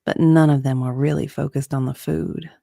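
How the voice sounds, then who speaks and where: A woman's voice: rumbling low, steady tone, normal speed, creaky, warm tone, no echo, studio quality. A woman narrating a romance story in a sultry, dramatic style.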